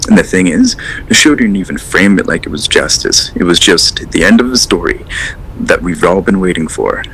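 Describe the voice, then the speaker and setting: A man's voice: low-pitched, very animated, moderate pace, fairly bright tone, a little hiss or hum, heavily acted, low-quality recording. A man acting as a hesitant, rambling caller to a late radio show in an audio drama, earnest and unsettled. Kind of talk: audio drama, naturalistic phone-in call.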